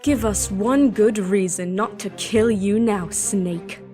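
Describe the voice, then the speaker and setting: Melancholy young princess played by a woman for audio drama: measured, reserved, mellow timbre, music behind. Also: medium pitch, natural voice. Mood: cold. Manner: measured, subdued, formal.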